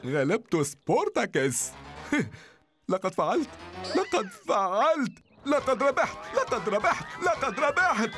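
A man's voice: extremely high pitch, big pitch swings, unhurried, music in the background, heavily acted. A man voicing a lazy, scheming villain in a children's cartoon dub, theatrical and melodramatic. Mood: gloating. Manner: melodramatic, gloating.

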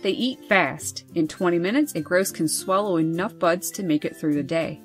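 Woman narrating a birding documentary, low voice. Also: soft background music. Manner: measured, informative reading. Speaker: birding channel host. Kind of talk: scripted nature documentary narration. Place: voice-over over wildlife footage.

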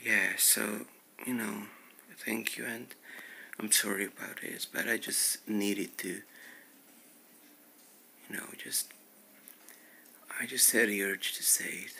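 A man speaks to his viewers in a slow, broken way, pausing often. He sounds hurt and fragile, close to tears, his voice gravelly and sharp-toned. Much of it sinks to a whisper. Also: lowish voice, fairly even intonation, slight background noise. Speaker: vlogger. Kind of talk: casual personal update to viewers.

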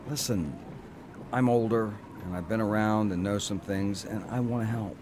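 A man's voice: fairly low pitch, normal speed, background noise, close to an everyday voice. An actor playing an arrogant, cynical businessman older brother in a radio drama, lecturing bluntly and condescendingly.

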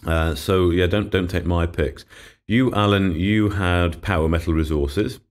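A podcast host with a very low, deep voice, slightly thickened by a cold. He talks in a loose, chatty way, with easy laughs and plenty of fillers as he runs through the year. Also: steady tone, measured pace. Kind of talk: casual investment podcast chat.

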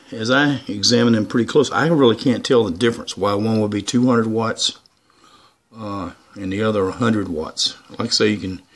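A man with a fairly low voice presents equipment in a homemade vlog. He speaks off the cuff and casually, wandering from point to point with hesitant pauses. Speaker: YouTuber presenting his radio antennas. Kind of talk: casual unscripted product show-and-tell.

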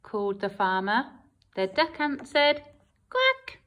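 Lady teacher doing storytime, lively up-and-down voice, slow and clear, bit high. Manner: animated, expressive storytelling. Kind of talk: reading a picture book to children. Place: empty classroom.

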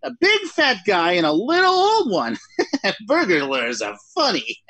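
A man's voice: sits high, huge pitch swings, measured pace, bright, forceful tone, husky, dry recording, full character voice. A man playing a jumpy writer alone at night, rambling and mocking himself in 1940s radio acting style. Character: jittery lone male writer talking to himself.

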